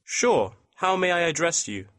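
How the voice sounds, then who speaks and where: Man acting polite print shop employee, lively intonation. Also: on the high side, unhurried, no echo, clean studio sound. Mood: polite, attentive. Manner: courteous, customer-service formal. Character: polite, businesslike male printing company employee taking a customer's requests. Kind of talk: scripted exam listening dialogue.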